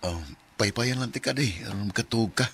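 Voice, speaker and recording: Deep-voiced, patient Cebuano father. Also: brisk, a bit bright, a little hiss or hum. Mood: soothing, weary. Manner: soothing, apologetic. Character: gentle, patient, poor young working father. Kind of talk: Filipino radio drama acting.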